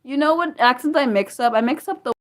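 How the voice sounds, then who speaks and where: An American woman hosting a casual livestream, rambling and laughing often, trailing off with self-deprecating asides and swinging from loud bursts to soft mumbles. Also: high voice, fairly quick. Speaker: livestream host. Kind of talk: casual unscripted livestream chat, answering viewers.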